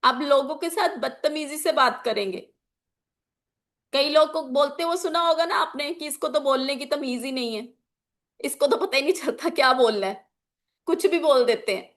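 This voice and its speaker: A woman's voice: high-pitched, normal speed, a bit bright. A woman gives an informal lesson. Her throat is a little sore. She explains point by point, repeating key phrases for her students and dropping English terms into her sentences. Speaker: teacher of an online class on chakras and magical oils. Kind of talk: informal spoken lesson with examples.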